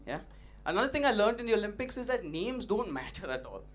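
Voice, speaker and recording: A young man doing an open-mic stand-up set, punchy and animated, teasing the audience, on a stage mic. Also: high voice, fast talker. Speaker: stand-up comedian. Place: comedy club stage. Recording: noisy live club recording.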